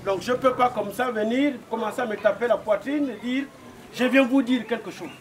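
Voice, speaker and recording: A man with a Gabonese accent, speaking for a visiting wedding delegation with polite, measured formality in a courtyard. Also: very high voice, slight background noise. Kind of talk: formal ceremonial speech. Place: outdoor courtyard.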